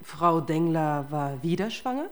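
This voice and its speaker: A woman's voice: sits low, lively intonation, unhurried. An actress playing a tough, relentless female police detective in a German radio crime drama, confrontational and sardonic. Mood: pressing.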